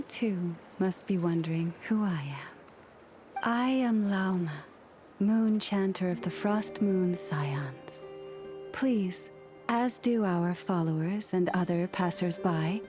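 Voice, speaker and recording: A woman playing a serene, gracious moon priestess in a video game, speaking in gentle, formal, poetic phrases. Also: mid-range voice, slow pace, faint music behind, natural voice. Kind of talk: video game character acting.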